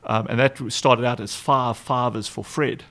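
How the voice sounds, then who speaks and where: A man's voice: mid-range voice, steady tone, normal speed. A man with a South African accent, a charity director telling stories in an interview, with many ums and restarts. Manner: warm anecdotal, frequent ums and restarts. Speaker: guest, charity executive director. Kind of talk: relaxed storytelling interview.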